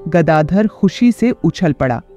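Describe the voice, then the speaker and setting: A narrator telling a children's moral story in a lively storytelling way. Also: medium pitch, slow pace, muffled recording, faint music behind.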